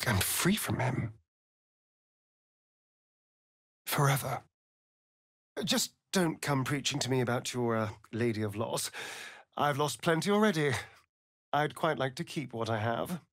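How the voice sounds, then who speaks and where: Sly theatrical vampire with sweeping pitch. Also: male voice, animated, moderate pace, a bit bright, no echo, studio quality. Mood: subdued, vulnerable. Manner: theatrical, drawling, sardonic. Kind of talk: video game character acting.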